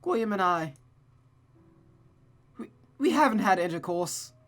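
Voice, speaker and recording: A woman's voice: medium pitch, lively intonation, measured pace, voice pushed up, natural voice. A woman voicing an anxious young woman in a visual novel, earnest and hesitant as she confides. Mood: shy, hesitant. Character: Catherine, anxious young woman confiding about her fiancé.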